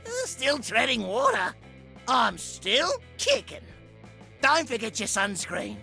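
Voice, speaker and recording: An actor playing a manic, taunting young male troublemaker in a video game, with a high, sharp voice. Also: huge pitch swings, slow, gravelly, faint music behind, heavily acted. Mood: gleeful taunting.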